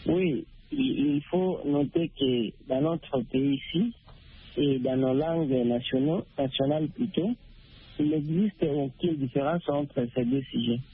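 A man calls in to a radio show, his voice very breathy and slightly high. He speaks slowly and deliberately. The line sounds thin and phone-like. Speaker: radio caller.

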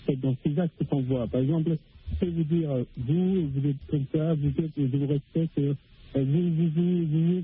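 A man from Africa calling in to a radio discussion, speaking haltingly with many restarts and fillers over a phone line. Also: fairly high pitch. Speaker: radio caller. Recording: tinny phone line with faint noise.

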